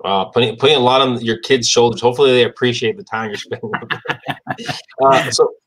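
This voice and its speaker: A man's voice: mid-range voice, fairly even intonation, fast talker, fairly bright tone. A man hosting a casual interview, asking loose and meandering questions. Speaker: podcast host.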